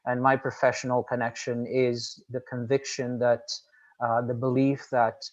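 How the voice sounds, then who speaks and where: A man whose first language is Portuguese, speaking as an executive director on a panel in an earnest, measured, formal way with frequent pauses. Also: medium pitch, monotone, lo-fi sound. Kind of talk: panel discussion by video call.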